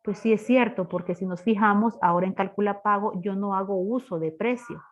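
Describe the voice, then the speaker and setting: A woman's voice: medium pitch, brisk. A woman teaching a programming class step by step, asking questions as she explains. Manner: didactic, asks rhetorical questions. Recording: dull lo-fi online class audio.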